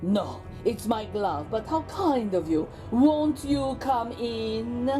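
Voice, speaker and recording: A woman plays a fiendish male vampire pig from a children's storybook. He is grandly melodramatic and lonely, with slow, drawn-out delivery over music. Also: fairly high pitch, lively intonation. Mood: courteous, inviting. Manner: melodramatic, exclamatory.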